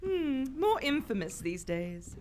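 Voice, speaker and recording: A woman's voice: a little high, big pitch swings, slow, a little mellow, faint music behind. A woman playing an arch, wry lady adventurer in a melodramatic live radio play. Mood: wry, cool. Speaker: actor in live radio theater. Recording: noisy live stage recording.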